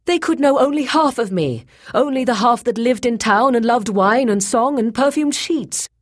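A woman's voice: lowish voice, animated, moderate pace, breathy edge, pitched above the performer's own voice. A woman voicing a proud, passionate male shapeshifter in a fantasy story, pleading with urgent intensity. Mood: wounded, passionate. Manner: urgent, imploring, intense delivery.

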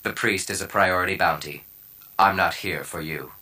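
A man's voice: deep voice, normal speed, bright, forceful tone, husky, heavily acted. A man voicing a cool, terse bounty hunter in an audiobook, with a matter-of-fact manner.

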